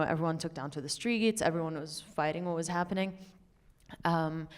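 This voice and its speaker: A woman from Lebanon in her thirties, a filmmaker answering questions in a reflective, hesitant way with many fillers. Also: on the low side. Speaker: filmmaker guest. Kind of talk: conversational Q&A answers.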